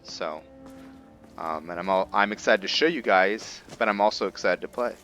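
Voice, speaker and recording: A man's voice: sits low, normal speed, a bit bright. A man giving casual, laid-back roleplay commentary on a video game, talking conversationally as he thinks aloud. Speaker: gaming video host. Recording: game music behind. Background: faint game music.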